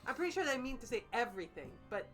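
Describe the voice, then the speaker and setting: A woman's voice: sits high, very animated, very fast, a bit bright. Woman dramatically reading and joking, music behind. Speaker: host reading and critiquing fan fiction.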